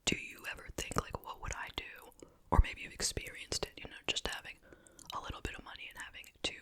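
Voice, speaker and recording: A woman whispering softly and breathily in a relaxed, rambling, conversational ASMR style, as the host of an eating video. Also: fast talker. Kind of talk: whispered ASMR chit-chat, eating show. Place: at home in a residential house.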